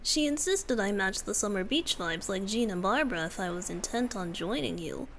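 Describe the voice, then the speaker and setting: A woman voicing a youthful male wind-spirit bard, soft, gentle and teasing, often breathy, in a romantic ASMR roleplay. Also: medium pitch, animated, normal speed, natural voice, rough recording. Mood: cheerful, teasing.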